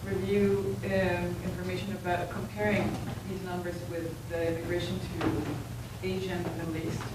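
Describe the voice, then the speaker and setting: A woman in a lecture audience asks her questions tentatively, stopping to search for words. Her voice is distant and washed in heavy room echo. Loud background noise competes with her. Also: fairly low pitch, flat delivery, normal speed. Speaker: audience member. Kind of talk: asking questions.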